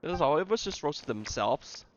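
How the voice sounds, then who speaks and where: A man giving casual playthrough commentary as he plays a game, in halting asides. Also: a little high, sing-song, highs cut off. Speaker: gamer playing and reacting.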